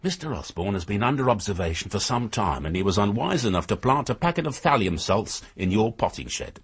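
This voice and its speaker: An actor playing a shrewd, methodical British police inspector in a radio drama, speaking in a measured, formal way with a husky voice. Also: male voice, fairly low pitch, very animated, full character voice. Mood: accusing.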